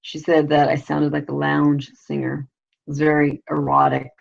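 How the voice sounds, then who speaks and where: Gravelly, raspy woman rambling casually. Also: monotone, normal speed, lo-fi sound. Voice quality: low, raspy voice. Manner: rambling, crude, constant "you know" fillers. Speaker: vlogger, show host. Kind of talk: casual live video chat.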